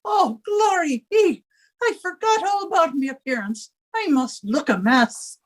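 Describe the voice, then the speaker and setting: A woman's voice: sits high, animated, unhurried. A woman playing a fussy, coquettish older landlady in a 1950s-style radio comedy. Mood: coy, fluttery.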